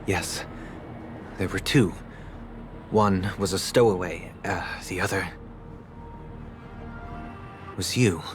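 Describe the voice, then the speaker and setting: Solemn, low, slow-spoken pious gentleman. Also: music in the background. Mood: grave. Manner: formal, measured, stern. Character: solemn, pious, authoritarian young brother, coldly composed. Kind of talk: dramatic video game voice acting.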